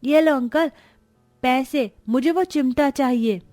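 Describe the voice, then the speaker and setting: A woman's voice: sits high, takes it slowly, darkish tone, no echo, soft background music, voice pushed up. A woman voicing innocent, eager small children with a pleading, childlike manner.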